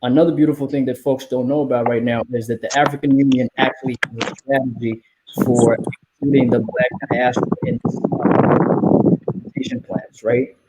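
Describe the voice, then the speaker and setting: A man's voice: medium pitch, level tone, normal speed, heavy background noise. A man speaking earnestly as a guest in a casual panel discussion, often checking in with "right?". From Somalia.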